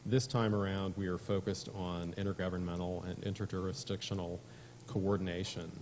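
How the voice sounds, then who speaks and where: A man giving a measured policy presentation at a conference, with frequent fillers. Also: low voice, flat delivery, darkish tone, slight background noise. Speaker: conference speaker.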